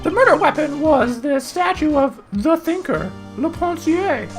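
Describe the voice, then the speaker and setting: Lady doing a weaselly prosecutor guy from a game, smug n pedantic, super high voice, music behind. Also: animated, measured pace. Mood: officious. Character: fussy, smug male courtroom prosecutor lacking presence.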